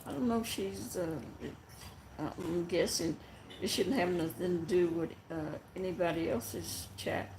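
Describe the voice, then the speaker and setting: A soft-voiced live-stream host chatting casually with viewers in a rambling, halting way before a story reading. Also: medium pitch, a little hiss or hum, low-quality recording. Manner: rambling and halting, with many fillers and trailing sentences.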